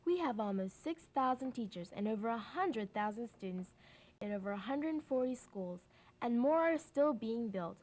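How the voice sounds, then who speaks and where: A woman's voice: fairly high pitch, animated, normal speed, a little mellow. A woman presenter reading scripted narration.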